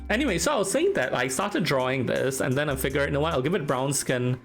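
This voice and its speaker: A man's voice: on the high side, animated, moderate pace, fairly bright tone, faint music behind. A man from Singapore with a Singaporean English accent, chatting casually on a live stream, teasing, reading chat aloud and laughing often.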